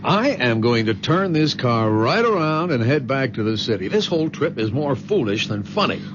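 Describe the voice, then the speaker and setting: A man's voice: mid-range voice, sing-song, moderate pace, natural voice. An actor playing an impulsive, indignant modern young man in an old-time radio drama. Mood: exasperated. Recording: muffled, very noisy vintage radio recording.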